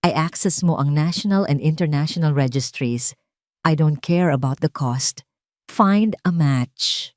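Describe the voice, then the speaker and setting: A woman's voice: deep voice, a little slow, a little mellow, dry recording. A proud, commanding male doctor in an audio drama, acted out by a woman narrator. He is a desperate father who speaks in terse, insistent sentences with a Filipino accent, in a very dry recording. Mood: grim, insistent.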